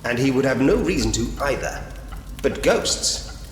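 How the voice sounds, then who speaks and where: A man's voice: mid-range voice, expressive, measured pace, a little hiss or hum, natural voice. A man voicing a polite, drily whimsical Victorian police inspector who muses to himself in an adventure game. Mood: resolute, puzzled. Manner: musing to himself, genteel, drily humorous. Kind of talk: point-and-click adventure game voice acting. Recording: reverberant room with long echo. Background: game music.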